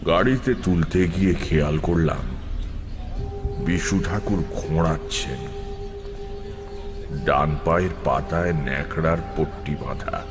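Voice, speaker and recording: A man narrating a first-person horror story in a dramatic, suspenseful, expressive scripted style. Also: extremely low pitch, measured pace, long pauses. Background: prominent music.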